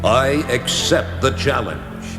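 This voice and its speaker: Brave cartoon warrior hero, slow earnest delivery w big swoops, music under. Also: male voice, medium pitch, expressive. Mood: resolute. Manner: resolute, declamatory. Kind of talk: 1980s children's cartoon acting.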